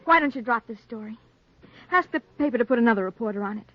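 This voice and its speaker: 1940s radio ingenue: actress as tender, worried fiancee, high female voice, muffled old recording. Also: expressive, fast, natural voice. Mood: worried pleading. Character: worried, affectionate young fiancee of a reporter. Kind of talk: 1940s radio drama acting.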